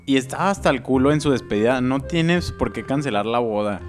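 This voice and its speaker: A man's voice: medium pitch, a little fast, faint music behind. A man with a Mexican accent co-hosting a podcast, talking in a laid-back, joking way with plenty of slang. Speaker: podcast co-host. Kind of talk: casual chat.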